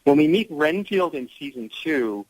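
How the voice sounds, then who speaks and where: A man's voice: a little high, expressive, normal speed, a little mellow, no echo, poor audio. A man speaking as an actor guest in a casual radio interview, explaining things with enthusiasm. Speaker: guest, audio drama actor.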